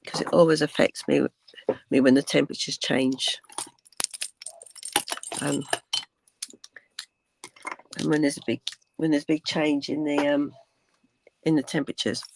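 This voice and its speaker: An older woman with a croaky voice, chatting casually through a craft tutorial, rambling with many ums and dropping to a whisper while she works. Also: sits low, steady tone, measured pace, pauses often, background noise. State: croaky from cold weather. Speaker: crafting channel host.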